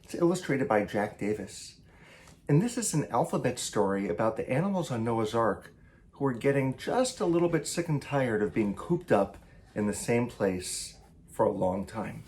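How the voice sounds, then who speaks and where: Animated man reading aloud to children. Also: medium pitch, moderate pace, slight background noise. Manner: theatrical, emphatic, with varied delivery. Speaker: children's author reading own book. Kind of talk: animated picture-book read-aloud for children.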